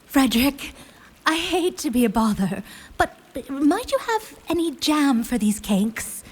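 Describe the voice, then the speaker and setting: A woman playing a guarded young war hero in a fantasy audio drama, careful, courteous and formal, with a husky voice. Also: high voice, very animated, unhurried. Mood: strained politeness.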